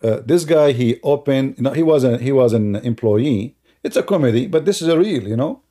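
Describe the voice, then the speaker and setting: Guy chatting loosely, lots of "you know"s, warm mellow tone. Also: medium pitch, expressive, moderate pace. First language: Arabic. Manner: loose, talky storytelling with non-native grammar and frequent fillers. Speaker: commentator. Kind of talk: casual commentary while showing a video on screen.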